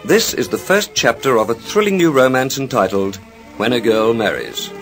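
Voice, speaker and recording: A man's voice: mid-range voice, big pitch swings, normal speed. A man narrating a vintage radio soap opera as its announcer, with a formal, sentimental and dramatic delivery. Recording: vintage radio transcription recording with music. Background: music.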